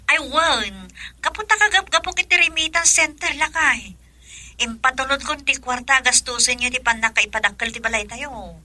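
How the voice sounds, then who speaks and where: Devoted working wife, radio drama: Ilocano accent, high and piercingly bright, reassuring. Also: female voice, normal speed, no room sound, full character voice. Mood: affectionate. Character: devoted hardworking wife and mother working abroad.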